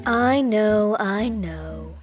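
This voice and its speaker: A woman playing a slow, cooing, doting lady. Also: mid-range voice, expressive, soft background music. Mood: gushing gratitude. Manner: affectionate, cooing. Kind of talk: amateur visual novel voice acting.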